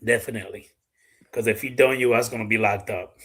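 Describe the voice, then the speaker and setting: Blunt Dominican-accented man, low voice. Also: fairly even intonation, a little fast, fairly bright tone, husky. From Dominican Republic. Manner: blunt, swears freely, repeats himself. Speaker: livestream host. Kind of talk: casual live chat.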